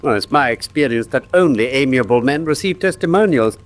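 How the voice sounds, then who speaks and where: An actor with an English accent playing a confident, teasing gentleman detective in a classic radio drama, speaking precisely and with theatrical condescension. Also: mid-range voice, lively intonation, a little fast, close to an everyday voice. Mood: coolly superior.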